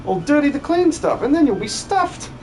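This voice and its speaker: A man's voice: very high-pitched, sing-song, normal speed, darkish tone, soft background music, pitched above the performer's own voice. A man voicing an eager, folksy country villager in a hobby video game dub, effusive and exclamatory. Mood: cheerful, earnest. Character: eager, chirpy country villager tending a river.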